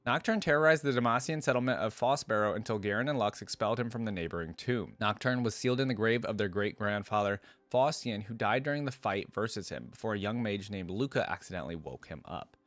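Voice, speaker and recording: A man's voice: lowish voice, fast talker, hardly any pauses, a bit bright, no room sound. A man hosting a reaction video, reading aloud and adding casual commentary.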